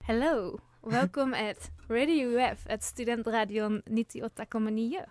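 Dutch-born student host, higher, dry studio. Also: female voice, normal speed, a little mellow, no room sound, soft background music. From Netherlands. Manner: reads from a script, some hesitations. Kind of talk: scripted intro and casual chat.

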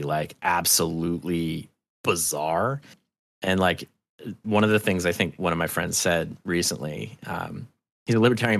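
A man talking casually as a podcast guest, telling anecdotes in an informal, halting way. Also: low-pitched, fairly bright tone, dry recording. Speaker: podcast guest, audio drama creator. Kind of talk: casual conversational storytelling.

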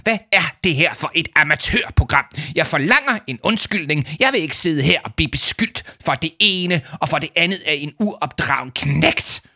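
A man voicing a pompous, furious middle-aged male official who shouts and rants in a shrill voice. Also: big pitch swings, hardly any pauses, heavily acted. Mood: raging. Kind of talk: audiobook character voice.

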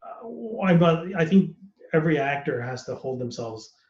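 A man's voice: on the high side, expressive, normal speed, darkish tone. Candid man in a roomy, dull recording. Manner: candid, rambling, laughs often. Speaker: actor guest. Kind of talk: casual podcast conversation.

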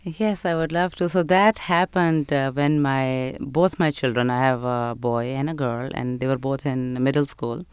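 A woman answers an interviewer in an earnest, measured way, pausing on small fillers. Her voice is smooth and clear, recorded close and dry. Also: extremely low pitch, fairly even intonation, no room sound. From Pakistan. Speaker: guest.

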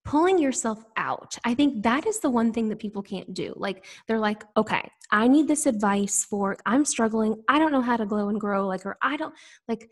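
A woman's voice: fairly high pitch, animated. Bubbly woman podcast host. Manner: bubbly, quick, rising questions. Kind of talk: casual interview chat.

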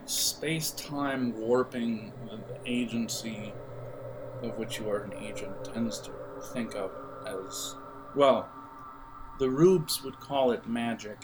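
Halting, conversational man running a role-playing game. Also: lowish voice, background noise. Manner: halting, searching for words, conversational. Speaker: game master.

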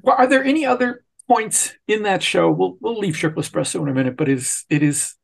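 A man's voice: high-pitched, expressive, brisk, gravelly. A man hosting a conversational interview, linking anecdotes and putting questions to his guest. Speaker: podcast host interviewer.

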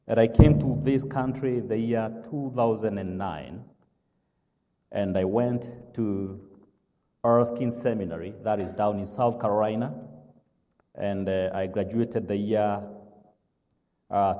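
A man from Kenya with a Kenyan accent, whose first language is not English, preaching a sermon as pastor. He speaks slowly and haltingly, fills pauses with "uh" and keeps asking "all right?". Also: on the low side, warm tone, big-room sound, dull audio. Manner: slow, halting with many "uh" fillers, checks "all right?".

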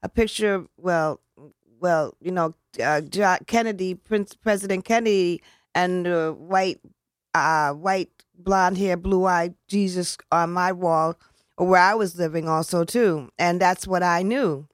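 A woman's voice: lowish voice, measured pace, no room sound, studio quality. A woman who organizes a community celebration, talking casually in an interview. She is earnest and rambling, restarts her sentences often, and drops in casual slang.

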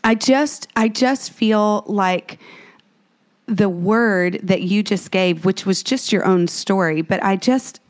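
A woman co-hosting a faith podcast, talking with earnest fervor. She restarts her sentences often and drifts into a preacher-like cadence. Her voice sits at an ordinary middle pitch for a woman. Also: slow. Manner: fervent, preachy, many restarts. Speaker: podcast co-host.

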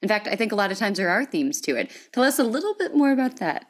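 A woman's voice: mid-range voice, sing-song, fast talker. A woman hosting a conversational interview, chatty and affirming as she asks questions. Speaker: podcast host.

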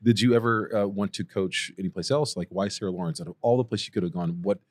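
American man, deep voice, casual interviewer with frequent follow-up questions, dry studio-style closeness. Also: quick pace, no room sound. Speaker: podcast host interviewer.

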